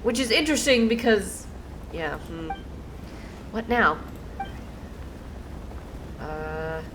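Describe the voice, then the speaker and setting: A woman's voice: mid-range voice, lively intonation, slow, background noise. A woman game streamer giving casual, playful, slangy commentary and reacting as she plays. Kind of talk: casual gameplay commentary, reading dialogue aloud.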